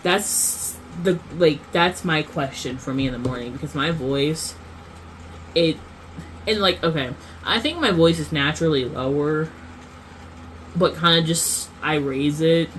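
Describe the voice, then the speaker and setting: A woman's voice: deep voice, measured pace, slight background noise, rough recording. A woman vlogger rambling through a casual monologue, full of fillers and self-corrections.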